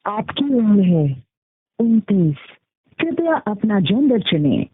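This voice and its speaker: Phone-line woman reading slow, formal automated prompts. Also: medium pitch, very animated, slightly rough. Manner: formal, measured, instructional. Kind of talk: scripted IVR prompts. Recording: muffled, phone-like, roomy call playback. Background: phone call recording played back.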